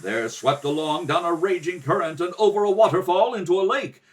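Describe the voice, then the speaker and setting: A man's voice: sits high, a little fast, far from the mic. A man giving theatrical, dramatic narration in the style of an old-time radio adventure serial. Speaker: narrator, rehearsal reader. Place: kitchen table at home. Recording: home recording, slightly off the mic.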